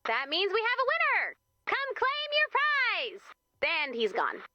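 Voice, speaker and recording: A very high-pitched, bossy camp leader for a video game, peppy and easily exasperated. Her delivery swoops up and down with lively, animated swings, and the tone is bright and forceful. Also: slow, no room sound, highs cut off, exaggerated voice. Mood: upbeat. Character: chirpy, bossy robot woman running a summer camp.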